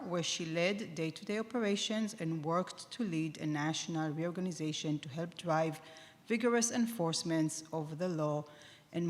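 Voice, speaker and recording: A woman moderating a summit, reading a speaker's introduction in a formal, measured way. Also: fairly low pitch. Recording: long hall reverb.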